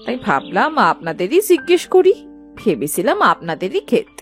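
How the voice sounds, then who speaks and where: A woman's voice: medium pitch, wildly swooping pitch, normal speed, soft background music. A woman playing a chatty, inquisitive village aunt in a cartoon, talking in a familiar, gossipy way. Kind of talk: dramatised cartoon dialogue.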